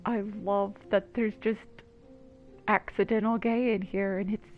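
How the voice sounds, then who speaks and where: A woman's voice: medium pitch, fairly even intonation, unhurried, narrow, tinny audio. A woman giving casual, playful and informal reactive commentary while playing a video game. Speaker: gamer commentating on a playthrough. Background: game music.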